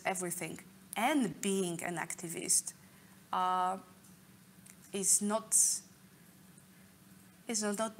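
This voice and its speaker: A middle-aged woman giving an earnest explanatory talk, often pausing to search for words. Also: on the low side, vocal fry, slight background noise. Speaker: speaker leading an online talk. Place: indoor room, office-like.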